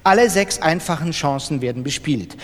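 A factual male announcer reads a manual in a radio play. His fairly high voice is instructional and carries long hall reverb. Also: lively intonation, moderate pace. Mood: matter-of-fact. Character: dry male announcer explaining roulette betting systems. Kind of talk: scripted manual reading in radio drama.

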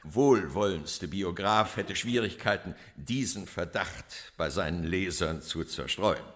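An actor playing a cold, self-assured police inspector who testifies in formal, deliberate oratory in a radio crime drama. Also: male voice, sits low. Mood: accusing, emphatic.

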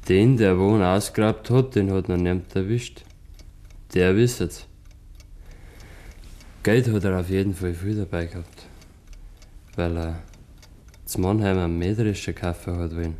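An evasive Bavarian village man with a very deep, mellow voice. He mutters haltingly and defensively, in the acting style of 1950s dialect radio drama. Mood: guarded.

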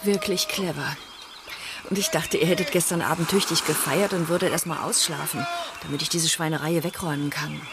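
A woman's voice: low voice, steady tone, fairly quick. An actress playing an aggrieved, weary woman explaining herself in a German radio crime drama. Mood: sour. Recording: clearly noisy outdoor scene ambience.

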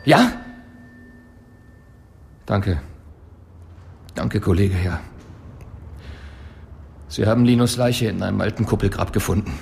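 An actor playing a hard, bitter, vengeful police detective in a radio drama, speaking in a curt, intense, biting way. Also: male voice, lowish voice, takes it slowly, gravelly, pauses often, a little mellow, slight background noise. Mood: stunned. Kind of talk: German radio drama acting.

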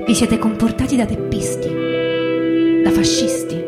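An actress playing a proud, militant 1962 factory worker who recounts a revolt in a declamatory radio theatre monologue. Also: female voice, fairly high pitch, unhurried, natural voice. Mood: defiant, resolute. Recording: loud guitar music behind the voice. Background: plucked guitar music.

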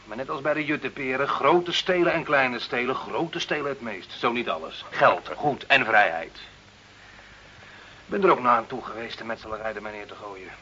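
Bright-voiced cynical village labourer, acted by a man. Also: medium pitch, normal speed, natural voice. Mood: cynical, scornful. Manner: sardonic, colloquial, complaining. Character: cynical, grumbling poor village bricklayer, working man. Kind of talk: radio play acting. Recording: dull old radio recording, faint hiss.

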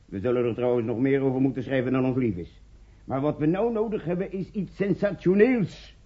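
An actor playing a bossy, cynical newspaper editor in a radio play, curt and commanding, on an old recording. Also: male voice, medium pitch, a little fast, slightly rough, lo-fi sound, natural voice. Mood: insistent, instructing. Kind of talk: Dutch radio play acting.

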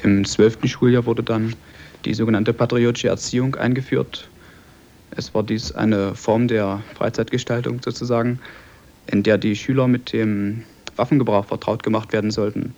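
Young East German male interviewee, creaky voice, explanatory account, muffled archive recording. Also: on the low side, steady tone, normal speed, a little mellow. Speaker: refugee eyewitness, former pupil. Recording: dull old tape.

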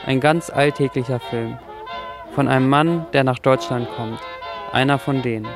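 An actor playing a sombre narrator of a bleak homecoming tale, speaking gravely in a theatrical radio-drama prologue. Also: male voice, medium pitch, steady tone, moderate pace, lightly acted. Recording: orchestral music behind the voice.

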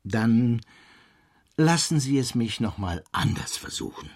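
A man acting in a German radio drama as a digressive, pedantic academic who tells his story in an animated, professorial way. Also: mid-range voice, unhurried, over loud music, lightly acted. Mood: insistent. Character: digressive, pedantic male academic recounting a strange conference. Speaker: actor in a radio play.

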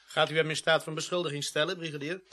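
An actor playing an earnest, by-the-book young police recruit in a radio play, polite and questioning. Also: male voice, fairly high pitch, level tone, quick pace, sharp tone. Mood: earnest, puzzled. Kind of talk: radio drama dialogue. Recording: radio play, crowd noise behind.

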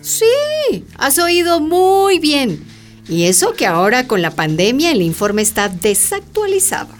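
An actress playing an earnest, didactic town mayor with a Latin American accent and emphatic key words in a radio drama. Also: female voice, sits high, huge pitch swings, unhurried, soft background music, heavily acted. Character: earnest, worldly-wise female town mayor, explaining patiently.

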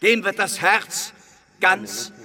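An actor playing a solemn, fervent preacher who tells a story as a sermon in a radio play, with emphatic pauses. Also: male voice, high-pitched, level tone, vocal fry, bright, forceful tone, exaggerated voice. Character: solemn, fervent male preacher telling a parable. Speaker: narrator and preacher.

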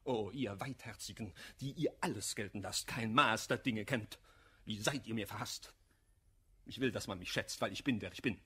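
An actor playing an embittered, hot-headed misanthrope in a classical German radio play, declaiming vehemently in rhymed verse. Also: male voice, lowish voice, normal speed, fairly bright tone, slight background noise, lightly acted. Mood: indignant. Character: embittered, hot-headed male misanthrope railing against hypocrisy.

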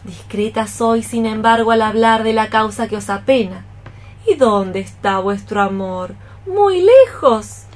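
A woman's voice: fairly high pitch, close to an everyday voice. An actress playing a scolding, scheming old mother cockroach in a theatrical, exclamatory radio play style. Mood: prying. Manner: exclamatory, theatrical, drawn-out phrases. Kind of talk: radio theatre acting in archaic poetic Spanish.

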